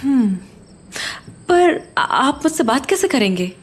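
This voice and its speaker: Woman playing an uneasy high-voiced young mother. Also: very animated, slow pace, exaggerated voice. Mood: doubtful. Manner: hesitant, questioning. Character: uneasy, questioning young mother in haunted family house. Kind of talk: audio horror drama dialogue.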